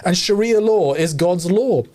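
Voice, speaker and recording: A man's voice: sits high, expressive, unhurried, mellow. A man co-hosting a discussion podcast, making his case in an earnest, argumentative way, thinking aloud with many fillers. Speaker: podcast co-host.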